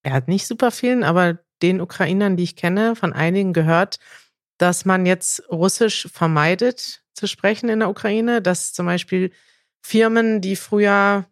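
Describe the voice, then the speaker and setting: A woman's voice: medium pitch, moderate pace, dry recording, clean studio sound. A young woman from Germany hosting a podcast interview, asking questions in a casual, frank, conversational way. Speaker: podcast host.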